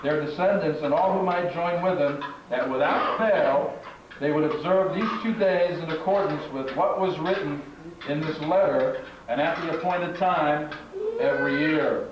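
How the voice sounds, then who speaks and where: A man's voice: on the high side, unhurried. Man reading aloud in a very echoey hall. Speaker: narrator and master of ceremonies at a congregational puppet show. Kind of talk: live scripture reading to an audience. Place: large echoing room at a live congregational event. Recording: echoey hall from far off, muffled, noisy.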